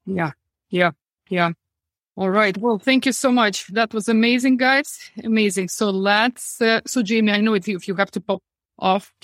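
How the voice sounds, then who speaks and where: A woman's voice: medium pitch, normal speed, dry recording, clean studio sound. A woman hosting and moderating a panel, speaking enthusiastically.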